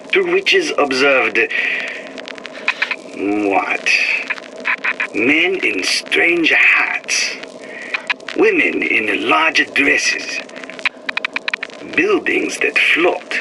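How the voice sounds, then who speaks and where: A methodical lab observer reports strange sightings in clipped, broken phrases. His pitch sits a little high and rises and falls a lot while he speaks slowly. A steady hiss runs under the game audio log. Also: vocal fry, heavily acted. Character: methodical male lab observer, halting speech.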